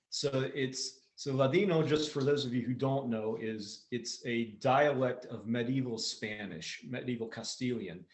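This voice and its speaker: A man, a cantor, introducing a song and explaining its background in a halting, informative way. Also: medium pitch. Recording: echoey room sound.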